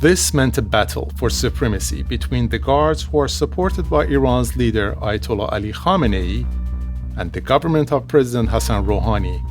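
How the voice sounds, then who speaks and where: A man's voice: medium pitch, expressive, normal speed, music in the background. A man reading scripted narration for a documentary as its narrator.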